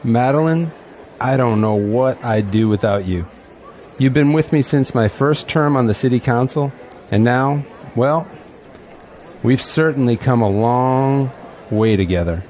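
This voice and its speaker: A man acting a middle-aged, self-assured city politician in an audio drama, folksy and grandiose, with dramatic pauses. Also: medium pitch, darkish tone, close to an everyday voice. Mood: businesslike, fond. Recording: echoey hall, faint crowd and noise.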